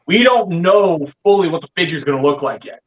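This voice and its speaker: A man debating casually as a livestream panelist, emphatic and flatly insistent. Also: on the high side, fairly quick, muffled recording. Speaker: panelist on a toy review livestream. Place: online livestream call.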